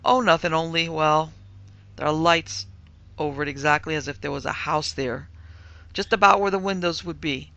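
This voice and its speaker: A man's voice: fairly high pitch, steady tone, moderate pace, gravelly, sharp tone, no echo, lo-fi sound. A man voicing a shaken young son in an audiobook who tries to sound casual and unconcerned. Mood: uneasy, excited. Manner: shaky, trying to sound casual. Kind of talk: audiobook character acting.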